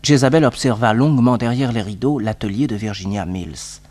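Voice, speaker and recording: An actor playing a detective's eager nephew, telling the case as a lively storyteller in a French radio serial. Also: male voice, mid-range voice, a little fast, lightly acted. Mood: eager, suspenseful.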